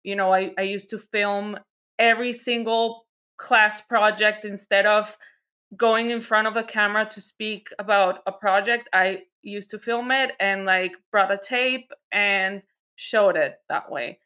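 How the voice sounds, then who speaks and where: Bright-voiced woman director from Mexico. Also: mid-range voice, fairly even intonation, a little slow. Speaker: commercial director, guest. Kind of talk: casual interview.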